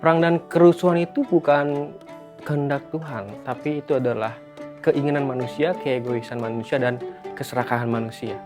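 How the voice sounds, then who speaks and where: A man's voice: medium pitch, fairly even intonation, brisk. An Indonesian man in his thirties answering as an interviewee. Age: 30s. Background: guitar music.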